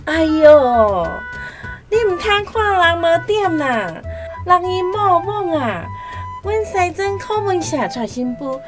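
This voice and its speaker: An actress with a Taiwanese accent playing a smooth-talking, boastful village matchmaker in a radio drama, coaxing and glib. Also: female voice, very high-pitched, very animated, slow, full character voice. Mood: boastful, insistent. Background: instrumental music.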